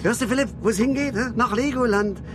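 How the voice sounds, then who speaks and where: High-voiced, excitable working-class dad. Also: male voice, a little fast, noisy background. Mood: eager, coaxing. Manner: rambling, coaxing, scolding, slangy. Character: excitable working-class father, coaxing his son, bossing mates. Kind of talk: naturalistic radio drama acting.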